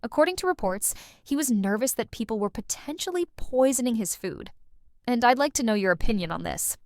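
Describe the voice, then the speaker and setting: A woman's voice: a little high, lively intonation, a little fast, no echo, studio quality. A woman hosting an interview, putting prepared, formal questions to a guest. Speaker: interviewer, host.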